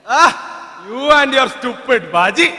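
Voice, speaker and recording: A man's voice: very high-pitched, expressive, slow, pushed and bright, exaggerated voice. A man with an Indian accent playing an easygoing, bribable street tea seller in a stage drama, teasing and dismissive. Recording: echoey hall, stage play.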